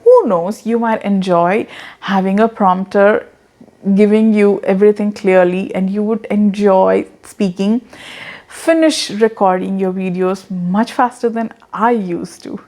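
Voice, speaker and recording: An earnest woman in her thirties, with an accent that blends Indian and American English. She speaks to the camera in an encouraging way, laughs at herself, and repeats words as she goes. Her voice sits at a middle pitch. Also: steady tone, unhurried, darkish tone. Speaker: online business coach. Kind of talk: casual talking-head advice.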